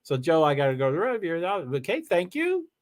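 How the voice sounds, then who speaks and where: A man streaming live from home, chatting casually and rambling excitedly with lots of exclamations and restarts. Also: a little high, fast talker. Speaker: live streamer reading chat. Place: home in Washington State.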